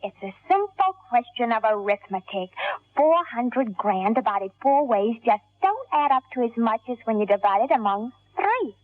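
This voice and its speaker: An actress playing a cold, scheming, seductive killer in the manner of 1940s radio crime drama. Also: female voice, on the high side, big pitch swings, normal speed, hardly any pauses, dry recording. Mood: cold, mocking. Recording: tinny old radio broadcast, music behind.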